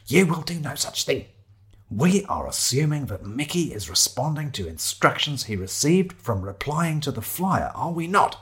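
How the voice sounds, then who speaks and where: Man acting a pompous, indignant gent, lifted high and animated. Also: normal speed. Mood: stern, reasoning. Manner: pompous, wordy, formal. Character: Shiloh, indignant haughty man sleeping rough, commanding. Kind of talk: audio story character voice.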